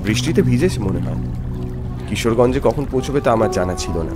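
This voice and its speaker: A man voicing a young office worker tells a ghost story in a mid-pitched, very lively voice. He is polite and jittery, dramatic and suspenseful, with music playing underneath. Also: brisk, lightly acted. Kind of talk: dramatic scripted first-person horror narration with dialogue.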